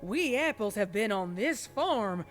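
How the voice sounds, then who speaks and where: A woman's voice: medium pitch, animated, unhurried, faint music behind, voice pushed up, natural voice. A woman voicing a deranged, paranoid country farm pony with a rural Southern American accent, rambling and stammering with vengeful menace in a horror story reading. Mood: rambling, agitated. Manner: rambling and stammering, snapping into angry shouts. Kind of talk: dramatic horror fan fiction reading.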